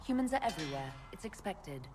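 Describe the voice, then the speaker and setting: Composed, lowish-voiced elf heroine. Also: female voice, sing-song, fast talker, music in the background. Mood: composed, resolute. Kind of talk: video game character acting.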